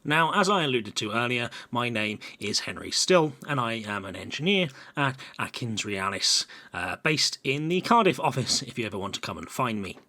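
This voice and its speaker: Young male engineer from England's south coast, bright crisp voice, mid pitch, casual presenter with many ums. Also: sing-song, moderate pace, few pauses. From Brighton and Hove, England. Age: young adult. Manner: casual, chatty with frequent "uh" fillers. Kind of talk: recorded technical presentation.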